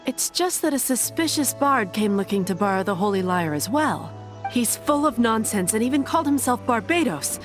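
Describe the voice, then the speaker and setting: A woman's voice: fairly high pitch, very animated, moderate pace. A woman playing a courteous but firm young nun in a fantasy video game, formal and pious in manner. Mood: guarded, businesslike. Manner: formal, pious, curtly polite. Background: background music.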